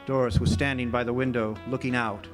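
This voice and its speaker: An actor playing a world-weary, sardonic ex-jailbird drifter in a radio drama, wry and laconic. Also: male voice, medium pitch, level tone, a little fast, natural voice. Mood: reflective, weary. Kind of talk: radio drama acting with first-person narration. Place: live amphitheater stage performance. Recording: live stage recording with music.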